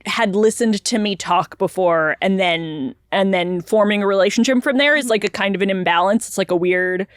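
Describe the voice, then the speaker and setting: A woman's voice: medium pitch, normal speed, few pauses, clear, resonant tone, no echo, studio quality. A woman co-hosting a podcast in casual chat, thinking aloud in a playful way. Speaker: podcast co-host. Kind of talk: casual podcast chat answering listener voicemails.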